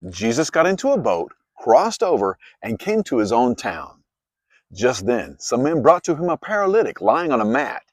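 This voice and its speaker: A man narrates scripture in an expressive storytelling style. His pitch moves widely as he goes, and the sound is dry, with almost no room on it. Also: normal speed, no echo. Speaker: narrator, Bible reader. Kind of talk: dramatized scripted Bible narration.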